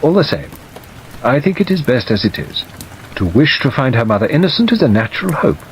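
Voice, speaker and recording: A male narrator plays a stern older woman from a classic mystery audiobook. She is brisk, dry and precise, with firm, animated swings of pitch. Steady rain runs under the reading. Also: a little hiss or hum, close to an everyday voice, rough recording. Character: stern, forthright older woman, a former governess, dry and unsentimental. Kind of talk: audiobook character dialogue.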